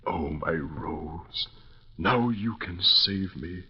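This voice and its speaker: An actor playing an undying, lovelorn phantom suitor in an old radio horror play, courtly and portentous, pleading with obsessive menace. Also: male voice, low-pitched, extremely slow, husky, heavily acted. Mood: desperate pleading. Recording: muffled, noisy vintage radio recording.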